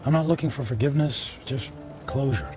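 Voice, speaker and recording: Clear music plays behind a man acting a middle-aged ex-husband in a radio play. He is hesitant and earnest, stammering as he pleads, a contrite businessman with a mid-pitched voice. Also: brisk, a little mellow, natural voice. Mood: pleading. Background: dramatic background music.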